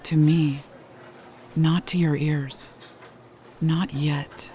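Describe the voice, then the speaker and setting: An actor in an audio drama playing a cryptic, calm tavern mystic who speaks in a measured, terse way, with faint background noise. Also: mid-range voice.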